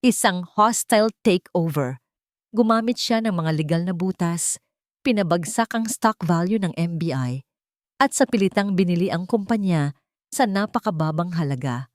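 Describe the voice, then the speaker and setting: Low-voiced Filipino woman narrating a dramatic story. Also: expressive, moderate pace, no room sound, clean studio sound.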